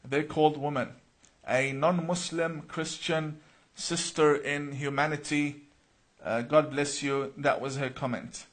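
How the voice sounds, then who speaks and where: A man's voice: a little high, fairly even intonation, measured pace. A man from Iraq hosting a live question-and-answer stream, reading viewer comments aloud and answering them earnestly, with many uh's and repeated words. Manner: reads viewer names then answers, with frequent uh and repeated words.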